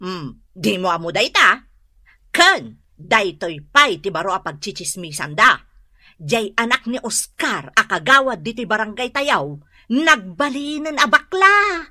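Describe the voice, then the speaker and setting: An actress playing an indignant, whiny, gossip-loving village wife in a comedy radio drama, complaining in animated exclamations. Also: female voice, mid-range voice, measured pace, dry recording, clean studio sound, full character voice. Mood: gossipy.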